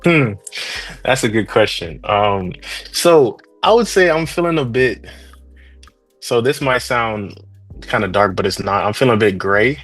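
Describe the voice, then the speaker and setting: A young man from Trinidad, now a 3D artist, talking about his path in a relaxed, rambling interview manner. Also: fairly low pitch, measured pace, pauses often, dry recording, faint music behind. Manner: laid-back, rambling, slangy. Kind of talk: casual interview chat.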